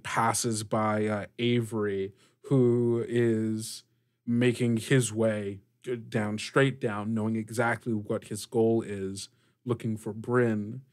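A man narrating a tabletop game as game master, improvising in a halting way and addressing the players directly. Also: fairly low pitch.

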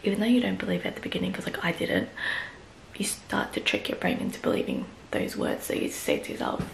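A woman's voice: on the low side, huge pitch swings, fast, gravelly, reverberant, poor audio. A woman in her twenties vlogging casually to a phone at arm's length, chatty and often whispering. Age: 20s. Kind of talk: casual vlog chat. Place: small bedroom indoors, then outdoors on walks. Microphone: phone held at arm's length.

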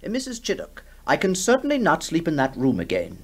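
A man's voice: a little high, huge pitch swings, brisk, exaggerated voice. A man playing an imperious, hearty, impatient country squire in a radio drama, commanding and dismissive. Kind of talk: 1960s radio drama acting.

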